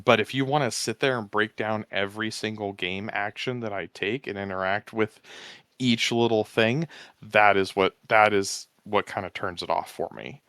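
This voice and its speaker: A man guest talking easygoing and rambling about his commentary work, with a smooth voice. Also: on the low side, steady tone, moderate pace, a bit bright, no room sound, clean studio sound. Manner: easygoing, rambling, restarts with fillers. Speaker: podcast guest, tournament commentator. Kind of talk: casual interview chat.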